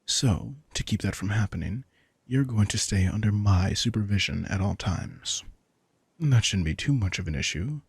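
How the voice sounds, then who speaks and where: A gravelly, low-voiced vampire, played by a man, speaks right to the listener in a close, dry recording. He is possessive and smug, with a softly commanding, teasing way of talking, as in an intimate audio roleplay. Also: lively intonation, moderate pace, no room sound, full character voice. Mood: teasing, protective. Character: possessive, teasing male vampire with hypnotic powers.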